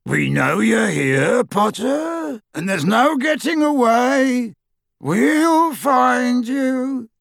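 A menacing hooded henchman of a dark wizard. The voice leaps far up into a high, strained yell. He calls out drawn-out taunts as he hunts his prey. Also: big pitch swings, vocal fry, dry recording, clean studio sound, full character voice. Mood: taunting threat. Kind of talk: audiobook character voice.

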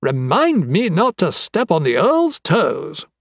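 A man's voice: fairly high pitch, huge pitch swings, takes it slowly, vocal fry, slightly rough, no echo, clean studio sound, voice pushed up, exaggerated voice. A man voicing a cheerful, youthful, eccentric anatomist in an audiobook, effusive and chatty with a macabre relish. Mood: eager, chatty.

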